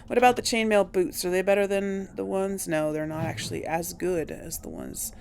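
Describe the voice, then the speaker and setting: A woman's voice: mid-range voice, steady tone, moderate pace, creaky, a little mellow, a little hiss or hum. A woman hosting a video game playthrough in casual commentary, thinking aloud as she plays. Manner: thinking aloud, musing to herself. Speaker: host of a game playthrough series.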